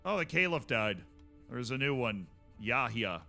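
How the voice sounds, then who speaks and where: A man's voice: medium pitch, sing-song, a little slow, creaky, bright, forceful tone, faint music behind. A man streaming a strategy game, chatting casually with wry asides to himself.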